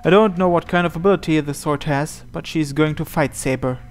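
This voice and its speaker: A man's voice: fairly high pitch, brisk. A man reading first-person visual novel narration aloud as he plays. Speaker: game player reading aloud. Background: soft game music.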